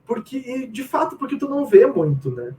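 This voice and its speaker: Animated, high-voiced Brazilian man, informal. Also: fairly quick. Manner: informal, slangy, often quoting own thoughts. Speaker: game writer, interviewee. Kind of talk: casual chat, animated anecdotes. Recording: distant mic with faint room noise.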